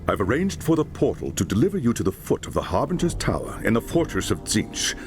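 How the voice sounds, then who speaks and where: An actor playing a solemn, commanding older male mentor who briefs a hero in a fantasy video game, speaking gravely. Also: lowish voice, very animated, fairly quick, big-room sound, slight background noise.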